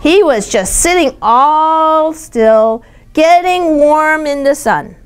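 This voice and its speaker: A woman reading a picture book aloud to young children, pausing to ask questions and explain words simply. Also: high voice, lively intonation. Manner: explains simply, pointing things out. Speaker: storytime reader teaching young children.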